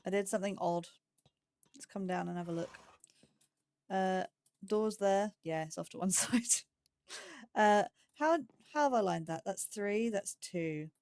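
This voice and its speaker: A woman hosting a slow game series, chatting to herself as she builds, with phrasing and startled yelps when danger appears. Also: mid-range voice, a little mellow, no echo. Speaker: let's play host. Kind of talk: casual gameplay commentary.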